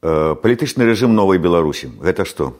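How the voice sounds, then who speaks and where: A man from Belarus conducts an interview, firing off short, teasing questions. His voice sits very low. His pitch still moves around a great deal from phrase to phrase. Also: lively intonation, fast. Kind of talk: casual interview.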